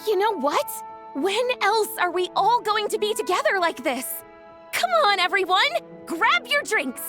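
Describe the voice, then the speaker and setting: An excitable young female game character with a very high, swooping voice. She talks in an expressive, unhurried way, with music playing under her. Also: heavily acted. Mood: cheerful, eager. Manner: expressive, exclamatory. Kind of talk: animated video game voice acting.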